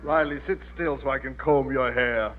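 Actor as a dry, lofty uncle, tinny old radio. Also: male voice, mid-range voice, steady tone, moderate pace, lightly acted. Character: dry, condescending uncle in a family comedy. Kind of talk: classic American radio comedy acting. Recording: tinny, band-limited vintage radio recording.

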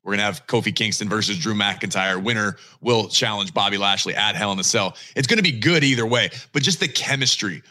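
A man hosting a wrestling talk podcast, his voice bright and forward. He talks with punchy emphasis and casual swagger, leaning into his opinions. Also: on the low side, a little fast, hardly any pauses. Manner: energetic, emphatic, swears casually. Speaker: podcast co-host.

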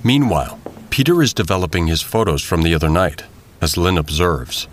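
Low-voiced male audio drama narrator. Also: expressive, fairly quick, faint music behind.

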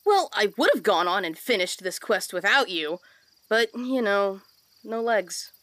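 An actress playing a little talking sapling in a fantasy audio drama, sarcastic and cheeky, with a wry manner. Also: female voice, medium pitch, expressive, measured pace, a bit bright, dry recording, rough recording. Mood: surprised, snarky. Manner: wry, snarky.

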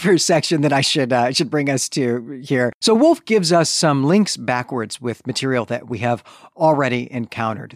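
A male podcast host talks through a literary recap in a conversational way. His delivery is thoughtful and halting, with phrases reworked as he goes. The voice sits at a middling pitch in a very dry, close space. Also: no room sound.

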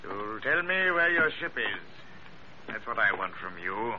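An actor playing a cold, commanding villain sea captain in an old-time radio adventure, giving threatening clipped orders with mocking politeness. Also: male voice, on the low side, big pitch swings, measured pace. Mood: cold menace. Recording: muffled, hissy vintage radio recording.

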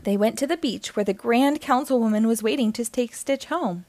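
Higher-voiced woman reading a storybook. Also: moderate pace, a little mellow, no room sound. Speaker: children's storybook reader. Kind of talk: scripted read-aloud narration of a children's story.

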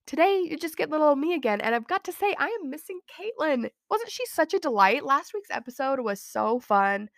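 Woman, thirties, solo podcast host, slightly high voice, a bit sick with a cold, giggly and rambling, dry close mic. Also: big pitch swings, brisk, few pauses, no echo. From Utah, USA. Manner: chatty, giggly, self-deprecating. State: has a cold. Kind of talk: casual solo podcast chat.

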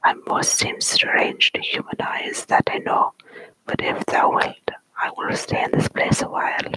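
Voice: unhurried, sharp tone, no room sound, low-quality recording. A storyteller whispering the lines of a strange, hairy little brownie who offers to work for nothing, in old-fashioned speech. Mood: earnest offering.

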